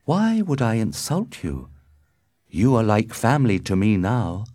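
A man's voice: on the low side, huge pitch swings, a little slow, warm tone, voice pushed up, heavily acted. Man playing an earnest young peacemaker. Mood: calm, conciliatory. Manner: earnest, reasoning. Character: calm, peace-making young romantic hero.

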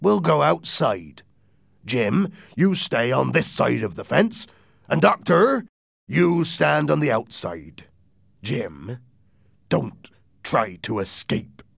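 A man's voice: mid-range voice, very animated, slow, vocal fry, a bit bright, dry recording, studio quality, pitched above the performer's own voice, exaggerated voice. A man voicing a wily, commanding pirate leader in a storybook reading. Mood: cheerful, sly.

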